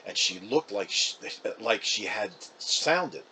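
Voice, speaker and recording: A man giving an expressive first-person reading of a children's book, as an actor narrating. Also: on the low side, takes it slowly, a little hiss or hum, poor audio.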